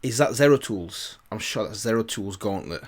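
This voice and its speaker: A man with a British accent and a fairly low voice talks casually as a reaction host. He is tentative, working things out aloud as he comments. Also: expressive, normal speed.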